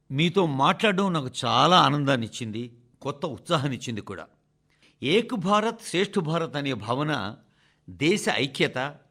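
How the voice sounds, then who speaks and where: Expressive, high-pitched man reading an address. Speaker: radio reader of a translated national address. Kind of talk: scripted broadcast narration, oratorical address.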